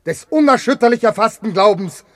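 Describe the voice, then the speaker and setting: An actor playing an anguished, proud betrayed husband and general in a classical verse radio drama, impassioned and declamatory. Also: male voice, sits high, lively intonation, a little fast, no room sound. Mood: solemn despair.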